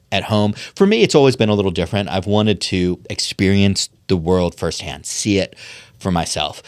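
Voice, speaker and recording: A man's voice: low-pitched, clean tone, no room sound, studio quality. A middle-aged man from Pennsylvania, a podcast guest talking in an earnest, thoughtful way with frequent ums and restarts. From Pennsylvania, USA. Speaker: podcast guest, political strategist. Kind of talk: conversational podcast interview.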